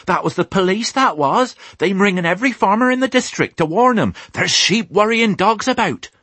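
High farm missus, all in a flap, broad dialect. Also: male voice, animated, moderate pace, a bit bright, husky, no room sound, full character voice. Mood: worried. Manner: broad rural dialect, breathless busy chatter. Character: fussing country farmer's wife, middle-aged, chatty.

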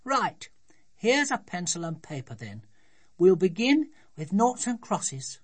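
A woman's voice: lowish voice, very animated, slow pace, breathy edge, pitched above the performer's own voice, heavily acted. A woman voicing a bossy, triumphant little girl in a children's storybook, with emphatic, insistent delivery. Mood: eager, bossy.

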